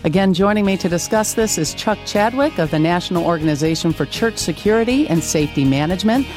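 A woman hosting a talk radio show, with clear, steady presenter delivery in a studio. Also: lowish voice, quick pace, faint music behind. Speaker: radio host. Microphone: studio microphone.

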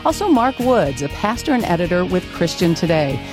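A woman hosting a talk radio show, with clear, steady presenter delivery in a studio. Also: fairly low pitch, fairly quick, music in the background. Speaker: radio host. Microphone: studio microphone.

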